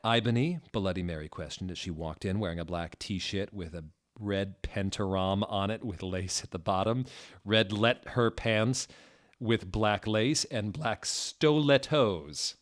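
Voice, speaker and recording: Male narrator, lowish pitch, mock-serious dramatic reading for comic fiction, deliberate with odd wording.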